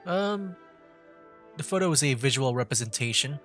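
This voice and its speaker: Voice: medium pitch, animated, normal speed, a bit bright. Casual streamer with a mid-range voice. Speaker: streamer, VTuber playing a game. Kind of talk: casual gameplay chat and reading dialogue options aloud. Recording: game music under the voice. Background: game background music.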